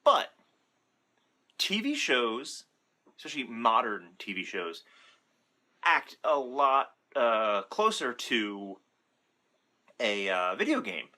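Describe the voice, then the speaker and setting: A man's voice: medium pitch, wildly swooping pitch, slow, long pauses, pushed and bright. A man giving casual, unscripted opinion commentary in an informal, rambling way, correcting himself as he goes and sometimes swearing. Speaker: video commentator.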